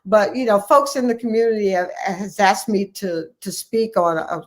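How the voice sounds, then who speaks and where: A woman's voice: medium pitch, normal speed. A woman who works as a family physician talks as an interview guest. Her delivery is conversational and earnest. She stresses her points firmly, often saying a phrase again for emphasis. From United States, Ohio.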